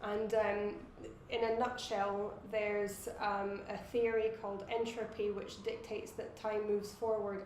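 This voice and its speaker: A woman's voice: mid-range voice, darkish tone, roomy sound, a little hiss or hum. A Scottish woman in her thirties, a watch designer answering interview questions in long, thoughtful explanations. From Scotland.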